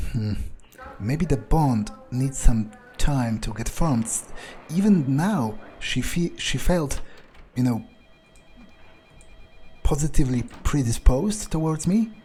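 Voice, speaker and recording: A man giving in-character gameplay commentary, thinking aloud and muttering to himself in a halting, musing way. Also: mid-range voice, warm tone, slight background noise, low-quality recording. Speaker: let's player role-playing his game character.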